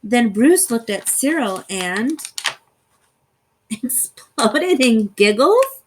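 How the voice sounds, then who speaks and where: Unhurried female storybook reader, higher-pitched voice, theatrical, expressive rhyming narration, deliberate phrasing. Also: a little hiss or hum.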